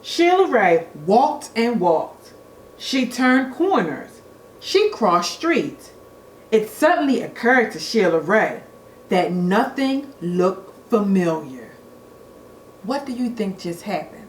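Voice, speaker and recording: A woman reading a children's storybook aloud in an expressive, deliberate way, like a teacher at storytime. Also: mid-range voice. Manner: expressive, deliberate, engages young listeners with questions. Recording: echoey room with faint noise.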